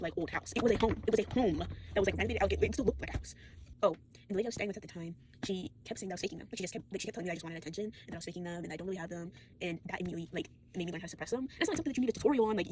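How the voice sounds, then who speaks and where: A woman's voice: mid-range voice, noisy background. A tired, sore teenage girl rambling casually to a phone, full of fillers, restarts and swearing. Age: late teens. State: fatigued and sore. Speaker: vlogger.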